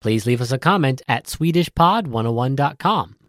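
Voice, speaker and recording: Lively male lesson host. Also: mid-range voice, normal speed, dry recording, studio quality. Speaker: host of a language vocabulary lesson. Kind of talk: scripted instructional narration.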